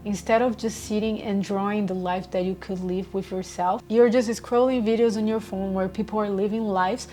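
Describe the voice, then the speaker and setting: Mellow-voiced woman vlogging gently. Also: medium pitch, steady tone, normal speed, few pauses, slight background noise. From Brazil. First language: Portuguese. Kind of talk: casual reflective monologue.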